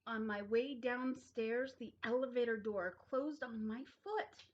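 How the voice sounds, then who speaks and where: A woman reading a children's picture book aloud expressively, with dramatic, drawn-out emphasis. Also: on the high side. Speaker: storybook reader. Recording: dull, lo-fi sound.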